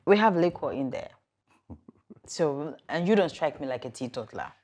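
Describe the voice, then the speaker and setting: Outspoken widow with lively pitch. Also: female voice, normal speed. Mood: relaxed, teasing. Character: proud, independent, outspoken widow. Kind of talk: naturalistic screen drama acting.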